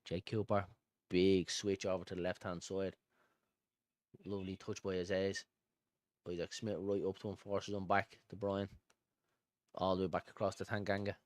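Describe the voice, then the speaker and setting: Male fan-stream football commentator, Dublin accent, low pitch, chatty and pally with viewers, dry sound. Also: level tone, moderate pace, long pauses, no room sound. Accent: Dublin Irish. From Dublin, Ireland. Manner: informal, matey, addresses viewers as pal and buddy. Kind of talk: live football commentary mixed with casual chat to viewers.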